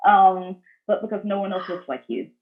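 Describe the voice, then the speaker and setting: A woman moderating a panel discussion on a video call, speaking in a measured, affirming way. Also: mid-range voice, phone-quality sound. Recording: thin, band-limited video call audio.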